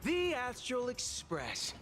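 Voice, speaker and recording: A man's voice: very high-pitched, wildly swooping pitch, slow, music in the background, exaggerated voice. A man voicing a cocky, protective young fighter in a game, brash and teasing.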